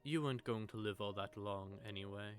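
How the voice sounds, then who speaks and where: A man's voice: lowish voice, a little fast, faint music behind, close to an everyday voice. A man playing a sardonic, predatory vampire with a sentimental streak, teasing and taunting in an intimate audio roleplay monologue. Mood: wry. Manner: teasing, taunting, drawling menace with soft asides. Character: sardonic, predatory male vampire, secretly sentimental toward prey.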